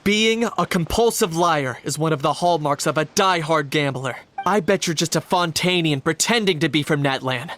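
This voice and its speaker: A man's voice: a little high, a bit bright, slight background noise, close to an everyday voice. Actor as a dry, youthful male game hero. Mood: dismissive. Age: young adult. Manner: measured, dry, sardonic. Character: cool, shrewd young man, dryly unimpressed. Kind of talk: video game dialogue.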